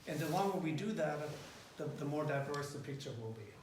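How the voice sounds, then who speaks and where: A man's voice: a little high, animated, brisk. A man introducing an interview project to a company team, talking hesitantly with many fillers. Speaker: interviewer leading a student group. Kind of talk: casual meeting introduction. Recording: echoey room, far from the mic, faint noise.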